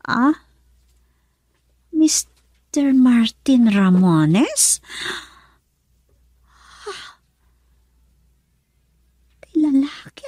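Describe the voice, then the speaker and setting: High-voiced, earnest young daughter. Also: female voice, darkish tone, dry recording, studio quality, exaggerated voice. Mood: stunned. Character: young woman, wounded daughter seeking her father, earnest. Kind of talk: Ilocano radio drama acting.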